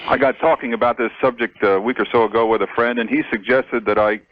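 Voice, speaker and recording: A man's voice: mid-range voice, steady tone, fast, no room sound. A man calling a radio show, asking polite, tentative questions in a casual way. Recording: dull lo-fi radio call.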